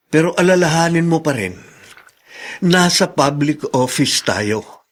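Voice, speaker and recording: A man playing an earnest reproachful colleague. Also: fairly high pitch. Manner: earnest, admonishing. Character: principled male colleague, reproachful longtime friend.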